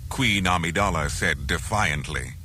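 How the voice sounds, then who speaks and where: A man reading a scripted children's adventure story as narrator, in a dramatic, measured way. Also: sits low, expressive, bright, forceful tone, slight background noise.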